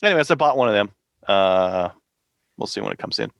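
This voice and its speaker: Low, gravelly man chatting casually. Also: expressive, brisk, a bit bright, dry recording, studio quality. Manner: informal, conversational, uses slang. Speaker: podcast co-host. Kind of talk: casual hobbyist chat.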